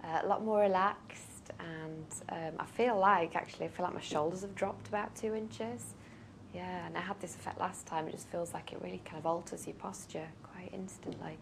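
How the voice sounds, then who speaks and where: A woman patient talking casually in an interview, soft and relaxed, her words trailing off quietly. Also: on the low side, normal speed, vocal fry, background noise.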